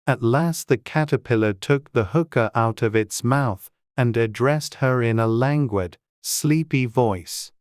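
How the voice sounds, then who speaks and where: Measured audiobook narrator, dry close sound. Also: medium pitch, no room sound. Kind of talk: scripted storybook narration.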